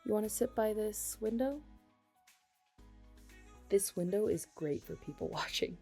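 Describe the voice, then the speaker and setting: A woman's voice: mid-range voice, animated, unhurried, dark tone, faint music behind. A young woman playing a chatty, dramatic best friend in a casual ASMR audio roleplay. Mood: casual, resigned. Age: young adult. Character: chatty, caffeine-loving female best friend, self-deprecating about dating.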